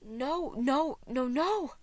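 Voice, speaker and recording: A woman's voice: high-pitched, expressive, extremely slow, no echo, pitched above the performer's own voice, exaggerated voice. A woman voicing an earnest, emphatic young man who keeps the peace with exasperation. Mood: insistent.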